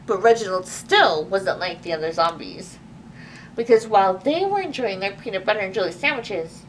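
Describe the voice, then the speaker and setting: A woman's voice: mid-range voice, animated, moderate pace. A woman librarian hosting a children's story time, reading a picture book expressively with little asides to young listeners. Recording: distant mic with faint background noise.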